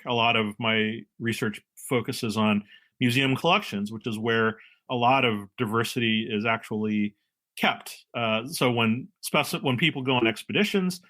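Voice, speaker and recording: Middle-aged man from California, bright tone, measured interview guest. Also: medium pitch. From San Francisco, California. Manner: measured, explanatory, with frequent uh and um. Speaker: marine biologist guest. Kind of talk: relaxed interview talk.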